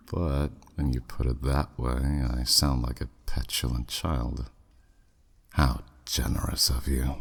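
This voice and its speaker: A man's voice: extremely low pitch, heavily acted. A man playing a haughty, flirty, skeptical demon buyer in a scripted ASMR audio roleplay, with a sardonic, dismissive drawl. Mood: intrigued.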